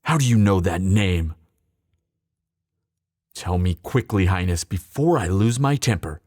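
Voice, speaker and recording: Slow, sardonic, bitter thief acted by a man. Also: low voice, expressive, a little mellow, no room sound, clean studio sound. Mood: angry, demanding. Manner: sardonic, theatrical, deliberate pauses. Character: bitter, mocking young male thief hardened by grief. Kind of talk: dramatic fantasy audio roleplay, addressed to listener.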